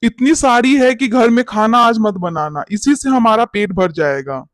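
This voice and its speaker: A man's voice: very high-pitched, a little slow, no room sound, studio quality. A man playing a greedy, glib and bossy village priest in a cartoon bedtime story, scolding and cajoling. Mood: pleased. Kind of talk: animated bedtime story character acting.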